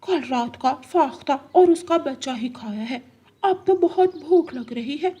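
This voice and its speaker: A woman's voice: high-pitched, lively intonation, a little slow, mellow, dull audio. A woman voicing a scheming, predatory female eagle who plots in declarations, in a cartoon story. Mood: hungry, menacing. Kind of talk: Hindi cartoon story acting.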